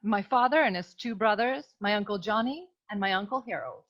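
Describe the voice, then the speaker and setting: A woman's voice: mid-range voice, a little fast, natural voice. A woman playing a distressed, earnest dame pleading with a detective in a comic noir radio serial. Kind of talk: parody noir radio drama acting. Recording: dull, muffled lo-fi audio.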